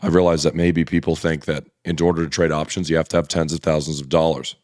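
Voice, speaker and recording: Brief: slightly hoarse male YouTuber, low voice, blunt casual explainer, dry close mic. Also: level tone, fast, darkish tone, dry recording. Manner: blunt, conversational, frequent restarts. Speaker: options trading YouTuber. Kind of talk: casual direct-to-camera explainer.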